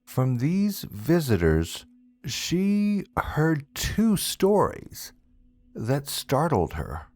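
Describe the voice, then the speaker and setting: A man narrates a scripted ghost story as a storyteller, in a deliberate manner with dramatic pauses. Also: fairly high pitch, wildly swooping pitch, a little mellow, no room sound. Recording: music bed behind the voice. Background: background music.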